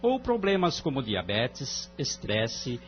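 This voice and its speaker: A Brazilian man playing a calm, wise family-court judge in an audio drama, speaking in a formal, measured, moralizing way. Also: medium pitch. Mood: calm, explaining. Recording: dull lo-fi audio, music underneath.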